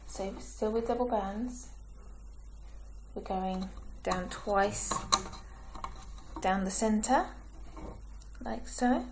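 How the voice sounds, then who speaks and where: Woman tutorial host, croaky and gravelly, slow patient delivery, faint clicks behind. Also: mid-range voice, steady tone, noisy background. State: croaky, clearing her throat. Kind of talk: step-by-step craft tutorial.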